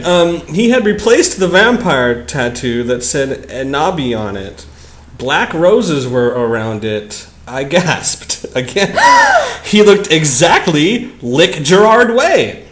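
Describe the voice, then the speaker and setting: An animated man reads aloud and riffs on it with sarcastic amusement. His pitch swings widely while his pace stays unhurried, and the room adds noticeable echo. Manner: amused, sarcastic, often breaking into giggles. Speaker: commentary show host. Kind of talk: mocking dramatic reading with casual commentary.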